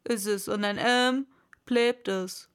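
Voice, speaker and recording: A woman's voice: medium pitch, fairly even intonation, slow pace, clean tone, voice pushed down, lightly acted. A woman voicing a simple, servile but stubborn male animal servant in a storybook, deferential and plodding.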